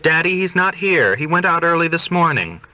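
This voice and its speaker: A man's voice: a little high, big pitch swings, normal speed, dry recording, muffled recording, pitched above the performer's own voice. A man reading an audiobook as a tipsy young mother who is brittly cheerful, defensive and insistently reassuring. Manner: insistent, over-bright reassurance.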